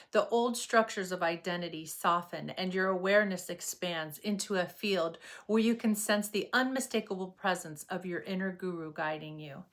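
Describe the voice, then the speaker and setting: A woman narrating a scripted guided meditation as a yoga nidra guide, with gentle, unhurried and measured phrasing. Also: on the low side.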